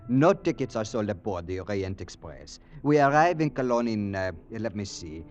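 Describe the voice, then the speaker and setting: An actor playing an officious, precise European train conductor, formal and fussy, in 1940s radio style. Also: male voice, on the low side, lively intonation, normal speed, a little mellow, soft background music, natural voice.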